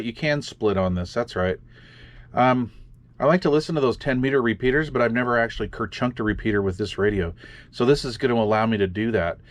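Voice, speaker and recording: A man casually walking through radio software in a makeshift home studio, often trailing off and muttering to himself as he tinkers. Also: mid-range voice. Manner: muttering to himself while fiddling with gear, many fillers. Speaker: radio hobby video host. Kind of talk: casual hands-on demonstration.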